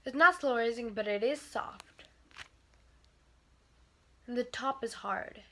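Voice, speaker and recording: A teenage girl with a bright, fairly high voice chats through a casual product review in her bedroom. She sounds congested from a cold and rambles on with plenty of fillers, talking into the camera's own mic. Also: slow, slight background noise. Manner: chatty, rambling, many fillers. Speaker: vlogger. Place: bedroom, indoors.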